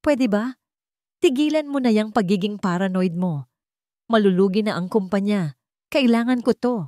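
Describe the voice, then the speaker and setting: A woman's voice: mid-range voice, lively intonation, moderate pace, mellow, no room sound, clean studio sound, voice pushed up, lightly acted. A woman voicing an irritated, dismissive husband in an audio drama, curt and exasperated. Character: irritated, dismissive husband, fed up with his wife.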